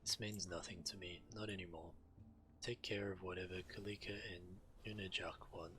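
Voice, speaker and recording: A man's voice: low-pitched, fairly even intonation, moderate pace, fairly bright tone. A man voicing a weary, withdrawn walrus-folk chieftain in a fantasy game, resigned and dismissive. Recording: background game noise.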